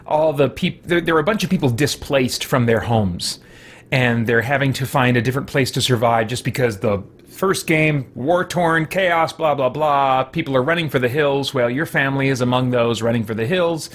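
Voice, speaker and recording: A man's voice: mid-range voice, normal speed. A man giving casual livestream gameplay commentary, rambling along, often correcting himself and poking fun at himself. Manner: rambling, self-correcting, self-deprecating. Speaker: game streamer and host.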